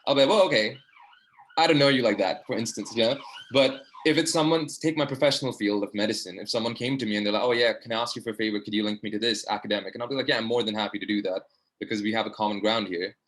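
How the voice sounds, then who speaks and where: A man's voice: lowish voice, fast, fairly bright tone. A young man, a medical student, earnestly asking a long, rambling question over a video call, full of fillers. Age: young adult. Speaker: audience participant, medical student. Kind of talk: online discussion question.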